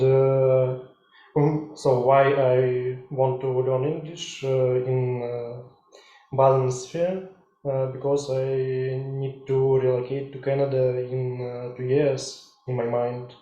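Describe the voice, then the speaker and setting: A young man introducing himself quietly and hesitantly. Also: medium pitch, flat delivery, slow pace, muffled recording. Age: 20s. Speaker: workshop participant. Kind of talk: self-introduction. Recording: echoey room.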